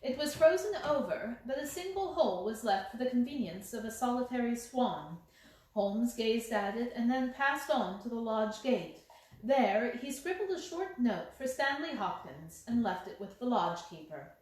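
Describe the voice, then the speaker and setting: The recording is very echoey. A woman with a fairly high voice narrates a story from a script, as an audiobook reader. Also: lively intonation, normal speed, a little mellow. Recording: very echoey room.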